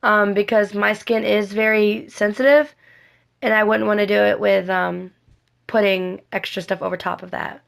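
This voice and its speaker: A woman with a slightly raspy, scratchy voice, hoarse from a cold, giving a casual makeup tutorial in a rambling, repetitive way and often correcting herself. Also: mid-range voice, fairly even intonation, normal speed. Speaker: cosplay makeup vlogger.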